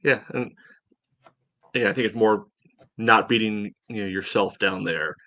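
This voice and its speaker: A man co-hosting a sports podcast, giving casual soccer analysis in a measured, think-aloud way. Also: on the low side, bright, forceful tone, dry recording.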